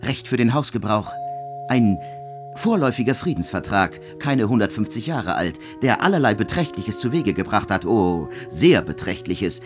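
An actor playing an aloof, intellectual young composer in a literary radio drama, lecturing with offhand irony. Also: male voice, lowish voice, big pitch swings, moderate pace, music in the background. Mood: wry, lecturing.

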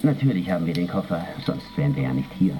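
An actor playing a burly, self-assured West German go-between in a radio crime drama, exaggeratedly courteous, like a gallant host. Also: male voice, low-pitched, big pitch swings, fast. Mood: gallant assurance.

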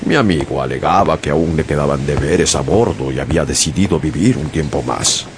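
A man narrating as a sailor in a scripted radio drama, in measured storytelling. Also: extremely low pitch, background noise. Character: young sailor narrating his voyage.

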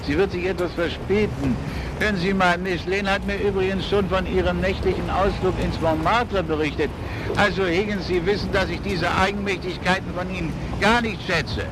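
An actor playing a fussy, self-important, irritable police chief in a German radio crime drama, talking impatiently and pompously. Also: male voice, high voice, background noise, lightly acted.

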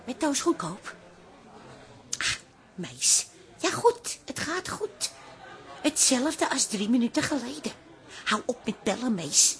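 Radio drama: chatty, teasing working-class wife, high and very lively, affectionate exclamations. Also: female voice, slow pace, long pauses, music in the background, heavily acted. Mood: affectionate, weary. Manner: colloquial, exclamatory, affectionate pet names. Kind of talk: Dutch radio drama acting.

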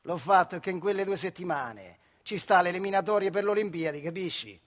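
A man's voice: sits high, a little fast. A man playing a brash, cocky young factory-worker boxer with a central Italian accent in a stage monologue, speaking bluntly and colloquially. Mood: aggrieved. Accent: central Italian (Marche). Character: brash young working-class boxer with Olympic dreams.

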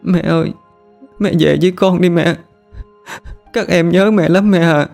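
A man voicing a young girl who looks after her little brother, speaking gently and soothingly. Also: sits high, steady tone, unhurried, gravelly, faint music behind, voice pushed up. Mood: tearful. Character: young girl, caring older sister, tearful.

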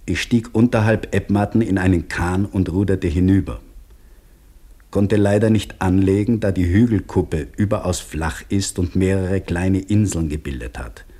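An actor playing a weary dam labourer who gives terse, measured diary entries in a radio drama. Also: male voice, low-pitched, dark tone. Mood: sober, reflective. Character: sober, weary male dam labourer reading his diary.

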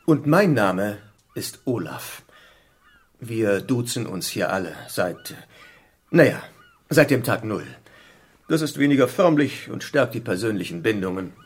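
An actor playing an affable, eccentric doctor in a radio drama, speaking in a polite, soothing, slightly pompous way. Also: male voice, on the low side, sing-song, measured pace, pauses often, breathy edge, full character voice. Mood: courteous. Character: Olaf, affable, eccentric plastic surgeon acting as island doctor. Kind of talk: German radio drama acting.

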